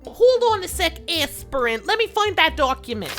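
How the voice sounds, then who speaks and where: A woman's voice: extremely high pitch, very animated, normal speed, fairly bright tone, soft background music, heavily acted. A woman playing a brash, officious receptionist in a comedic audio drama, talking slangily and dismissively. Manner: slangy working-class talk, dismissive. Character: brash, officious female receptionist at a celestial bureaucracy.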